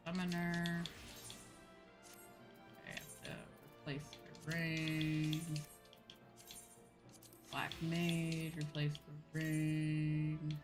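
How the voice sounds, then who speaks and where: A young woman chatting casually and informally as she livestreams a game, with a giggly manner. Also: deep voice. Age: young adult. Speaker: streamer. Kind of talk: casual livestream chat while gaming. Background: music.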